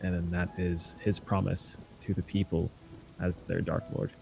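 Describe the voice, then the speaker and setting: A man player describing his character's actions in a tabletop game, halting with fillers. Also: sits low, flat delivery, vocal fry, background noise.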